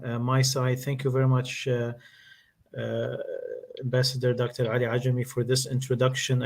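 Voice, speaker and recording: A man at a middle pitch introduces guests formally on a video call. He talks in an earnest, measured way with frequent pauses. The sound is that of a home computer mic. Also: darkish tone. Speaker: webinar moderator.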